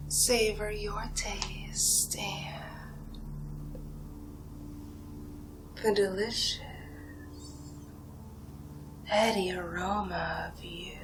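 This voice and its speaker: Very slow, whispering seductive vampire woman, acted out. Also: medium pitch, rough recording. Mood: savoring, seductive. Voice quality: breathy, whispery. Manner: slow, hushed, drawn-out, savoring delivery. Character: seductive ancient female vampire villain, predatory and condescending. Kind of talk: whispered ASMR roleplay monologue.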